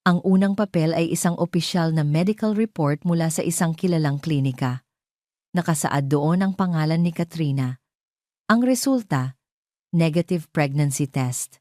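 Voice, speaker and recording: Low, dark-voiced woman narrator. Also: moderate pace, no echo, clean studio sound. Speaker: story narrator. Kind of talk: dramatic scripted narration.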